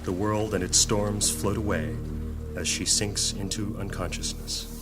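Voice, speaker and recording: A man performing as the solemn storyteller of a high fantasy audio drama, reading scripted dramatic narration. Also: unhurried, lightly acted. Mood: dramatic, urgent. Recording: music behind the voice.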